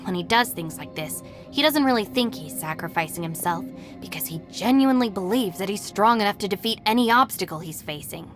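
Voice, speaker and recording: A woman's voice: medium pitch, lively intonation, brisk, close to an everyday voice. An actress playing a weary, sensible young woman, a gang deputy in a video game, matter-of-fact, with music behind. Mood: exasperated, fond. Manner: matter-of-fact, long-suffering. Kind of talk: game voice acting.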